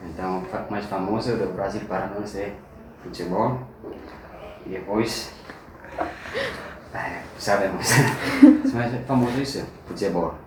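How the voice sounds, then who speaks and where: Young Indonesian man, low voice, halting non-native Portuguese, recorded far off in a noisy echoey room. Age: young adult. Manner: halting second-language speech, laughs easily. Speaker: interviewee. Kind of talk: casual interview answers. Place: indoor room at a migrant center.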